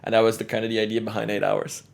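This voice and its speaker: A young man from Belgium sits as a podcast guest. His voice sits low and turns gravelly, and he speaks in a relaxed, reflective way, laughing now and then between his points. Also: very fast. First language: Dutch. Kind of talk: conversational interview.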